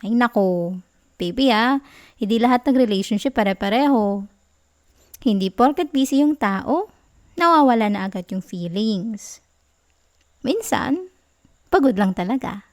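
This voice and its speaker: A woman with a Filipino accent playing a clingy, affectionate, playfully sulky girlfriend in an intimate ASMR roleplay, soft, cooing and teasing. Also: a little high, expressive, a little slow, long pauses. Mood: tender, teasing.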